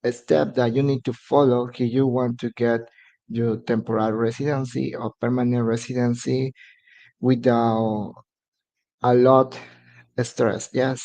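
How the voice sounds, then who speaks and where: Mexican man, native Spanish speaker, slow and halting English, muffled live-stream audio. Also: mid-range voice, gravelly, dark tone. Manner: hesitant, halting English with many fillers. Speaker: immigration advisor.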